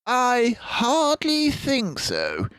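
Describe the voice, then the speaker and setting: A calm, inquisitive gentleman mouse sleuth from a storybook, acted by a man. He speaks slowly in a high voice, with measured, courteous authority. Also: smooth, no room sound, full character voice. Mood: calm, kindly. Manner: measured, questioning.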